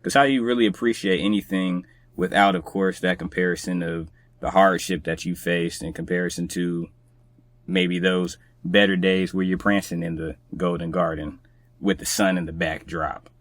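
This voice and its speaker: A man's voice: low voice, steady tone, moderate pace, no echo. A man speaking as a podcast guest in casual, reflective conversation, with a laid-back, colloquial, musing manner.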